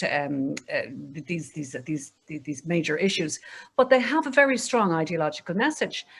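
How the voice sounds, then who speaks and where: A middle-aged Irish woman speaking earnestly as a panelist, with frequent restarts and fillers. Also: fairly low pitch. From Ireland. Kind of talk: panel discussion.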